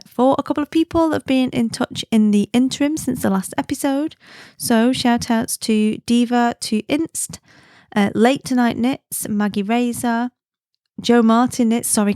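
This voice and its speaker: A woman's voice: fairly high pitch, a little mellow, no room sound, studio quality. A woman from the UK hosting her own podcast, chatting in a friendly, easygoing way and laughing easily. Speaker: podcast host. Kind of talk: casual chatty podcast monologue.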